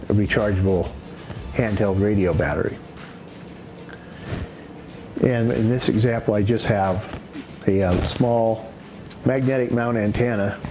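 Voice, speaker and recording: A man giving an unscripted product demonstration in a halting, matter-of-fact way, as a presenter explaining equipment. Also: lowish voice, a little mellow, slight background noise. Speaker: presenter demonstrating radio gear. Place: indoors in a house.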